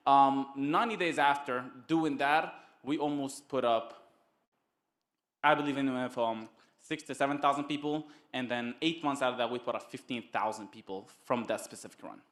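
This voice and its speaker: Man telling his story in halting bursts. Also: medium pitch, a bit bright. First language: Arabic. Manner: halting, repeats words, chuckles. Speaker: summit guest, network marketer. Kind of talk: casual testimonial storytelling.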